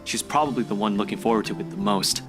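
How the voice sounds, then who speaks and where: A man's voice: lowish voice, quick pace, close to an everyday voice. An actor playing a blunt, impatient young man who teases his friends in a visual novel game. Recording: game music over voice.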